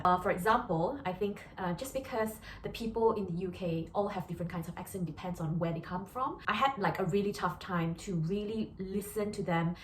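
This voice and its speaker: A young woman with a Malaysian accent, a doctor talking earnestly in a casual vlog, with many fillers and restarts. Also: on the low side. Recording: echoey room with faint noise.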